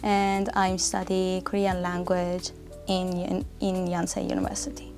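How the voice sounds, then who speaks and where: A young woman from Iran, giving interview answers in slow, searching phrasing as an international language student. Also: on the low side, completely flat delivery, vocal fry, a little mellow, soft background music. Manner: slow, searching, non-native phrasing with repeats.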